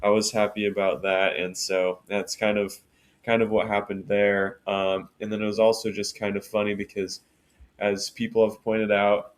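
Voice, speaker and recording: A man with a low, deep voice co-hosts a casual podcast. He speaks in a steady monotone, pausing with "uh" and restarting his sentences as he thinks aloud. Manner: unhurried, many fillers and restarts. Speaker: podcast co-host.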